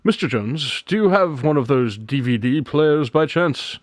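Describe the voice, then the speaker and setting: A man acting a confident, brisk, slightly pompous private detective in a radio drama, articulate and theatrical. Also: on the high side, animated, no echo. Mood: confident, scheming.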